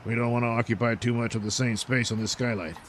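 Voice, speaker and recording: A man's voice: medium pitch, steady tone, fast talker, slight background noise, natural voice. A man voicing a pompous, bossy costumed superhero in a comedic audio play, scolding and self-important. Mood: scheming, matter-of-fact.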